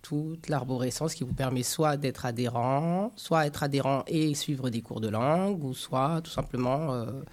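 A man's voice: medium pitch, moderate pace, hardly any pauses, a little mellow. A man representing an association as a guest in a radio discussion, speaking with frequent fillers. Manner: hesitant, many fillers. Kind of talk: casual radio interview.

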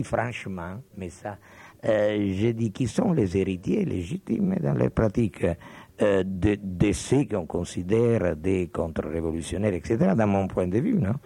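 Older man with a gravelly, dark voice. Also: on the low side, no room sound. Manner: digressive, meandering storyteller. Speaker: guest. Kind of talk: reminiscing in conversation.

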